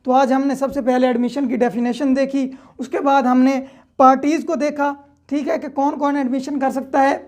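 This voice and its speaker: A woman's voice: sits high, level tone, normal speed, a little mellow. A woman with a Pakistani accent giving an explanatory law lecture in a conversational way, with frequent check-in tags. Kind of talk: explanatory lecture with worked examples.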